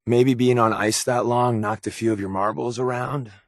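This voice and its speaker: An actor voicing a sardonic, wisecracking secret agent companion in a video game, with a dry, joking manner. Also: male voice, mid-range voice, steady tone, normal speed, no room sound, lightly acted. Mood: teasing, deadpan. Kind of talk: game voice acting.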